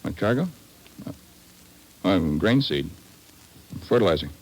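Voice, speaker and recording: Gruff, low-voiced tough pilot. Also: male voice, big pitch swings, measured pace, darkish tone, heavily acted, rough recording. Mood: guarded. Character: tough, guarded, resentful male cargo pilot. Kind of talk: vintage radio drama acting.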